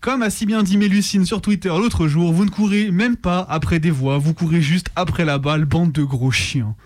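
A man's voice: sits high, moderate pace, a little mellow, slight background noise. A man with a French accent giving sarcastic, blunt, slangy opinion commentary as a radio presenter. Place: radio studio.

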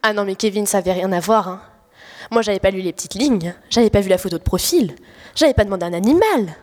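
A woman's voice: mid-range voice, lively intonation, quick pace, a little mellow, natural voice. A teenage girl playing a blunt, exasperated married woman in a comic audio drama, hesitant and slangy. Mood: panicked. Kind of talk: comic audio fiction acting. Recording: echoey hall reverb.

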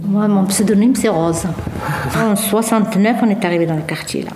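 An older woman, a longtime neighborhood resident, reminiscing in a lively way in a recorded testimony. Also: medium pitch, moderate pace, roomy sound, over music.

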